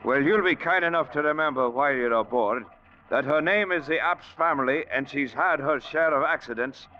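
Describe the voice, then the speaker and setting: An actor playing a stern, gruff ship's captain with a curt, formal, commanding manner in an old-time radio drama. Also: male voice, on the high side, moderate pace, natural voice. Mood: stern authority. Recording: dull vintage radio recording.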